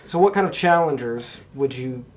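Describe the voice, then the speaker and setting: A man's voice: mid-range voice, lively intonation, moderate pace. A man hosting a podcast, talking casually in a conversational discussion. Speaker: podcast host.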